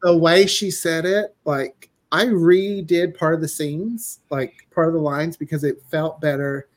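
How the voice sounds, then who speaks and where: A man with a somewhat high voice speaks as a guest in a relaxed interview. His delivery is conversational and enthusiastic, like a writer explaining creative work. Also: a little slow. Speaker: guest, audio drama writer. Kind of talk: casual interview chat.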